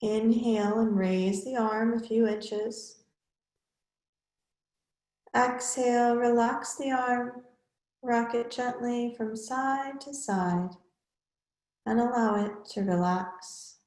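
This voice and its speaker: Woman yoga teacher doing guided relaxation, really slow n measured, short phrases w pauses, echoey room sound. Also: on the high side, steady tone, darkish tone.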